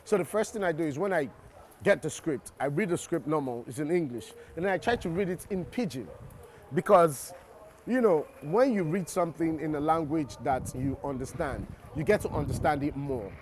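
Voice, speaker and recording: Nigerian male actor, interview guest, a bit high-pitched, thoughtful, repeats himself as he thinks. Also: moderate pace, slight background noise. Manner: reflective, restarts and repeats words while explaining. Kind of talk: interview answers.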